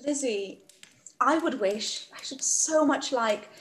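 A young woman playing a sweet, earnest gentlewoman in a period drama, speaking gently. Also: high voice, takes it slowly. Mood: tender, earnest. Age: young adult. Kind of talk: play read-through, period drama. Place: indoor room, over video call.

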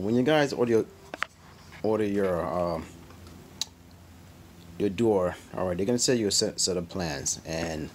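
A man's voice: fairly low pitch, a little mellow. Rambling DIY man on windy phone audio. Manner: loose and rambling, many fillers and restarts. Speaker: DIY video host. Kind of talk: casual how-to walkthrough. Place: outdoors in a driveway and in a home garage. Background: wind noise.

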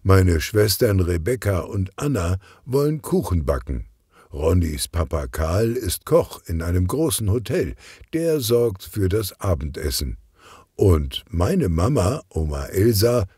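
A man's voice: deep voice, big pitch swings, a little slow, dark tone, husky, no room sound, clean studio sound, exaggerated voice. A man voicing a cheerful, chatty, teasing woman in a children's story, explaining things in a friendly way.

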